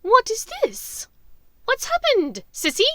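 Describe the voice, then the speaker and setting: A woman voicing a maniacal, imperious dark witch in an audiobook, theatrical, contemptuous and explosive. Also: very high-pitched, huge pitch swings, takes it slowly, no echo, studio quality, voice pushed up, exaggerated voice. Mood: menacing shock. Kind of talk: dramatic audiobook character voice.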